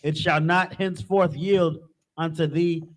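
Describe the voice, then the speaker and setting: A man reading scripture verses aloud in a steady, plain way. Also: a little high, a little slow. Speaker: scripture reader.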